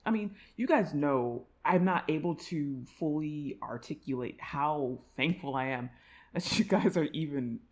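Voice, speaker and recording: A woman's voice: sits low, animated, a little slow. A woman audio creator talking casually to her listeners in an effusive, rambling way. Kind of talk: casual, informal outro chat to listeners.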